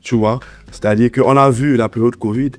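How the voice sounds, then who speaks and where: A man's voice: mid-range voice, fairly even intonation, normal speed. A man with a slight head cold, talking conversationally as an interview guest and repeating points for emphasis. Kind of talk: conversational interview answers, giving advice.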